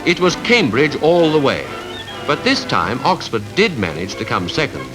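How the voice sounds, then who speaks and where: A man with a British Received Pronunciation accent reading scripted 1950s newsreel narration in a formal, measured, rhetorical way. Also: mid-range voice, big pitch swings, rough recording. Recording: 1951 archive newsreel with music behind.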